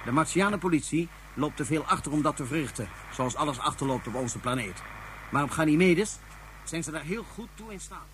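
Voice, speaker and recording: A man's voice: a little high, animated, brisk, fairly bright tone, slight background noise. A man playing a calculating Martian dignitary with secrets, formal and pondering, in a radio drama. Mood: tense, calculating.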